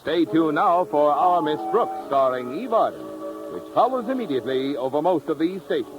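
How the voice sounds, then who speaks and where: An old radio broadcast with faint music under an American male announcer. His voice sits fairly high and moves in lively swoops through polished, formal scripted copy. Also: normal speed, dull audio.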